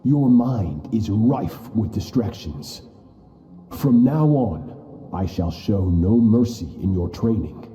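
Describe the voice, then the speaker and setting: A cold, merciless sword master talks in slow, very deep, dark tones while his pitch swings widely for emphasis. He lectures with quiet menace in a game cutscene. A roomy echo hangs on his voice, with music faintly behind. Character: stern, merciless older male sword master. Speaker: game character. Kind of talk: video game cutscene voice acting.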